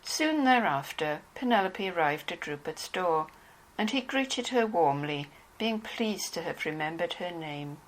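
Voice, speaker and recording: A woman's voice: fairly low pitch, expressive, unhurried, poor audio. A woman narrator reading scripted narration from a dramatized novel.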